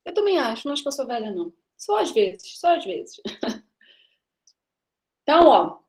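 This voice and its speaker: A woman's voice: sits high, very animated, moderate pace, a little mellow. A woman in her thirties from Brazil giving a live classroom lecture, chatty and teasing with her students, often checking in with them. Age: 30s. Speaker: university lecturer.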